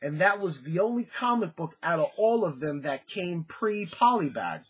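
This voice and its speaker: A man's voice: fairly high pitch, very animated, normal speed, slightly rough, dull audio. A man with a cold, chatting casually as he shows off comics he bought, with lots of fillers and restarts. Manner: rambling, many fillers and restarts. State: congested, under the weather. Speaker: comic collecting vlogger. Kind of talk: casual show-and-tell haul video.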